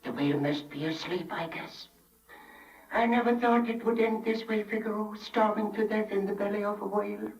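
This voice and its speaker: A man's voice: high voice, fairly even intonation, moderate pace, distant mic. A man playing a frail, kindly old father with a quavering delivery, in 1930s radio drama style on a dull, echoey broadcast. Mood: despairing. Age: older.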